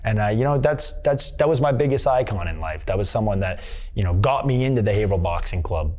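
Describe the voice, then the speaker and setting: A man's voice: fairly low pitch, animated, brisk, a little mellow. A man, a boxer from Massachusetts, telling his story in a candid interview and circling back with lots of fillers and restarts. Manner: rambling, many fillers and restarts. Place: boxing gym.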